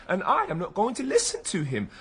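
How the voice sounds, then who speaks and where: Dry, higher-voiced British police sergeant. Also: male voice, huge pitch swings, a little fast, gravelly, breathy edge, heavily acted. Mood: firm, weary. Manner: dry, teasing, argumentative. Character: sceptical, bantering younger British male police sergeant. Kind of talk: naturalistic radio drama dialogue.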